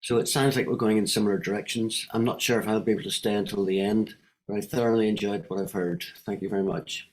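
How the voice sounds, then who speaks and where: A man's voice: on the low side, fairly even intonation, fast, rough recording. A middle-aged man with a Northern Irish accent, speaking on a video call in a friendly, hesitant way. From Northern Ireland. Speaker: guest speaker from a Welsh wellbeing group. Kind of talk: online meeting contribution.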